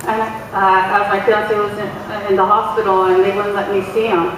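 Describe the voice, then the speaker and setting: A young adult woman giving a halting, emotional speech to a gathering, with many pauses and restarts and a loud voice. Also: medium pitch, flat delivery. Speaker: guest speaker, community organizer. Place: large echoey hall. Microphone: far from the mic. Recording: echoey hall from far off, noisy.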